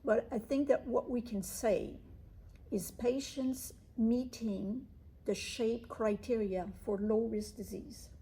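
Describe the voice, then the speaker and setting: A woman speaking as an interviewed medical expert, explaining clinical research in a measured, cautious way. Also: a little high, steady tone, dark tone, breathy edge, low-quality recording.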